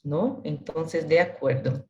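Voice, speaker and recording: A woman with as her moderates a video call in careful, slightly halting learner's Spanish. Her voice sits somewhat low, and the call audio is dull and lo-fi. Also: animated, warm tone. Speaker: student moderator. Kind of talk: reading announcements on a video call.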